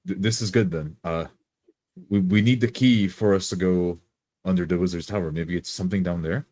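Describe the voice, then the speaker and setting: A man roleplays in a tabletop game. His voice is very low and warm-toned, recorded dry, and he states his character's actions deliberately. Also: fairly even intonation, no echo. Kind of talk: in-character roleplay with first-person narration.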